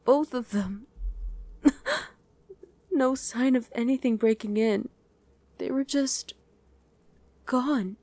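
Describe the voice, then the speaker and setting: A woman voicing a guarded demon who was once a human mother, in an intimate ASMR roleplay with halting, emotional delivery. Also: on the high side, warm tone, no room sound. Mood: anguished. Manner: halting, emotional, speaks directly to one listener.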